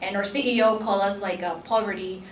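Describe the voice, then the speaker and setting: A woman's voice: medium pitch, steady tone, a little fast, noisy background. Salvadoran-accented woman on a conference panel. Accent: Salvadoran Spanish. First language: Spanish. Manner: earnest, many fillers and restarts. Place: conference room. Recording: echoey conference room from a distance.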